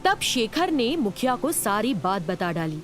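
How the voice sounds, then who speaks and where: A woman's voice: a little high, sing-song, measured pace. A woman narrating a scripted children's cartoon story.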